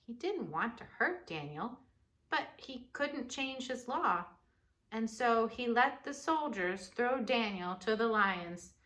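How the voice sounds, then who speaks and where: A woman reads a children's story aloud with a bright, forward voice. Her pace is slow and expressive, with dramatic pauses and conversational asides to the listeners. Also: on the high side.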